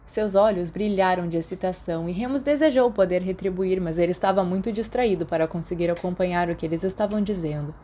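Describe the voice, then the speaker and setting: A woman's voice: lowish voice, quick pace, hardly any pauses, dark tone, a little hiss or hum. A woman with a Brazilian accent reading scripted audiobook narration of a story. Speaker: podfic narrator.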